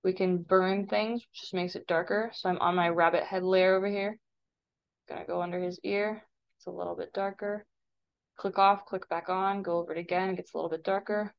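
Creaky-voiced woman teaching, dull recording. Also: on the low side. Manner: casual, step-by-step explaining. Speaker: art teacher. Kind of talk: software tutorial narration. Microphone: screen-recording voice-over. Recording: dull, lo-fi voice-over.

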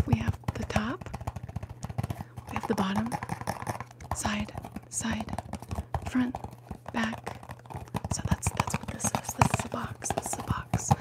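A young woman whispering through an ASMR livestream, chatting casually and repeating words softly into the mic. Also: fairly high pitch. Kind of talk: whispered ASMR live chat. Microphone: ASMR microphone.

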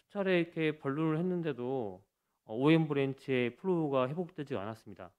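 A man's voice: a little high, animated, fairly quick, darkish tone, smooth. Man hesitantly presenting a medical case. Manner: hesitant, many fillers and restarts. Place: conference hall stage. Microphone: podium microphone.

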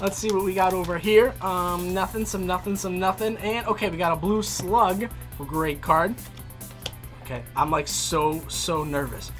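A woman's voice: on the low side, steady tone, normal speed. A woman co-hosting a casual card-opening video, chatty and teasing, laughing often. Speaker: co-host. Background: music.

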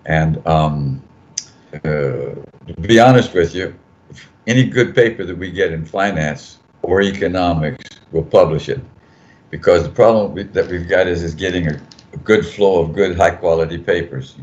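Older male editor, deep voice, rambling and self-correcting, muffled video-call audio. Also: normal speed, a little mellow. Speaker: journal editor on a panel. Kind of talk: casual panel talk. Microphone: video call mic.

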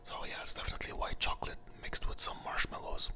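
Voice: fast talker, pushed and bright. A person whispering an ASMR candy review close to the microphone, hesitant and often repeating phrases.

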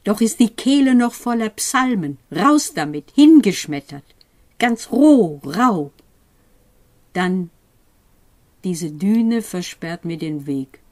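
Slow, dramatic woman reciting poetry. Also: mid-range voice, animated. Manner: deliberate phrasing with forceful exclamations and hushed drops. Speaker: poetry reader.

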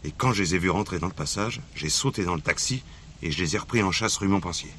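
An actor playing a dogged, streetwise police inspector in a French radio play, insistent, deferential yet cheeky. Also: male voice, low-pitched, fast, bright, forceful tone. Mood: confiding, determined. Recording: noisy archive drama recording, vehicle ambience.

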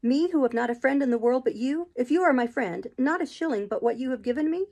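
A woman's voice: sits high, expressive, fast talker, a little mellow, voice pushed up. A woman voicing a proud, devoted young woman in love, earnest and emphatic in a storybook reading. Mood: astonished, searching.

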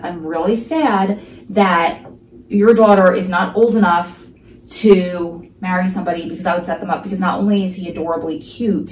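A woman's voice: fairly low pitch, roomy sound, far from the mic, a little hiss or hum, rough recording. A woman in her thirties with a New Jersey accent, talking casually and emphatically to a camera in her living room. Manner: chatty, emphatic, many asides. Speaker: vlogger. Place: living room at home, sunlit window. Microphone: camera across the room.

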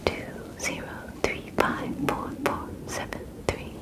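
A young woman voicing a gentle, clinical alien examiner in a breathy ASMR whisper, with halting, formal phrasing. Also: lightly acted. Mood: businesslike. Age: young adult. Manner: slow, halting, formal phrasing without contractions. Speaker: ASMR roleplay performer. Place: small bedroom, indoors. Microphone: close microphone.

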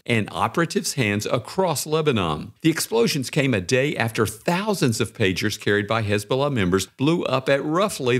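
A man narrating a scripted podcast article in a measured, articulate way. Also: medium pitch.

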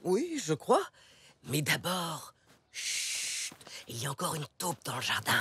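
Animated, eager cartoon zebra dad. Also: male voice, a little high, measured pace, husky, full character voice. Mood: conspiratorial, instructive. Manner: energetic, instructive, expressive. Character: eager zebra dad, gardener hunting moles. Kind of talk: children's animated cartoon acting.

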